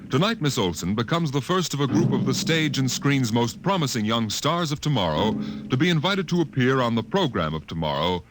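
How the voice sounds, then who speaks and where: A man narrating a 1950s radio science fiction show in a portentous, measured, theatrical announcer style. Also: mid-range voice, big pitch swings, low-quality recording. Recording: old radio broadcast over loud music. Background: loud music.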